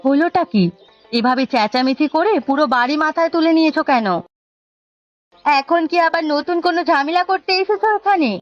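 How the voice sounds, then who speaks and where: Lady doing bossy sharp-tongued sister-in-law in a cartoon, high voice, dull audio. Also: brisk, faint music behind, lightly acted. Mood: scolding. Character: scolding, money-minded elder sister-in-law. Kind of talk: Bangla cartoon voice acting.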